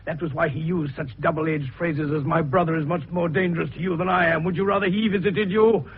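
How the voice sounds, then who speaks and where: An actor playing an older, eccentric male doctor sleuth in a radio detective drama, with a gravelly voice and an avuncular, theatrical way of explaining. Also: fairly high pitch, fairly even intonation, brisk. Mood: emphatic, revelatory. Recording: dull, muffled radio recording.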